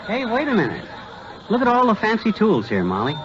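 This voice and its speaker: A very noisy old radio comedy recording with a studio audience behind it features a blustery, wisecracking husband. His delivery is folksy and sarcastic, swinging widely in pitch as he grumbles. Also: huge pitch swings, fairly quick, muffled recording, over a noisy crowd. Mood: breezy. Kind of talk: 1950s radio comedy acting.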